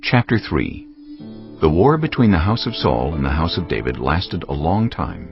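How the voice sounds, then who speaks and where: A male narrator reads scripture in a very low, gravelly voice. His pitch moves expressively through the scripted storytelling. Faint music plays behind a slightly dull recording. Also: very animated, normal speed. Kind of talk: scripted dramatized Bible narration.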